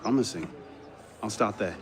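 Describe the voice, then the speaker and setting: An actor playing a brisk, confident young investigator in a video game cutscene. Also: male voice, lowish voice, crowd noise, lightly acted. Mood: businesslike. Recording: noisy outdoor city ambience.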